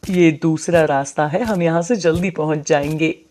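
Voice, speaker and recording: An actor playing a big, pushy, threatening man in an animated moral story, with a dark, mellow voice. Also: fairly high pitch, normal speed, slight background noise, close to an everyday voice. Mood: falsely urgent. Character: big, tall, menacing kidnapper man, lying and bullying.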